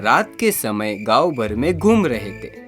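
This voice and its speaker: A narrator reading a scripted comic moral story for an animated children's tale. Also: medium pitch, takes it slowly, loud music behind the voice.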